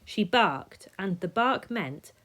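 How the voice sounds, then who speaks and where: A woman reading a rhyming children's story aloud in an expressive, measured way, sometimes dropping soft. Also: medium pitch. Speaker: storybook reader.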